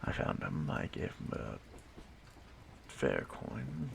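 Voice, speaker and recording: Over a noisy home recording comes a man performing an ancient immortal stalker from an audio roleplay. He is courteous, wistful and softly menacing, drawing out his halting musings in a deeply creaky murmur. The pitch wanders freely, and phrases often sink into whispers. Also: wildly swooping pitch, exaggerated voice. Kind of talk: slow intimate audio roleplay monologue.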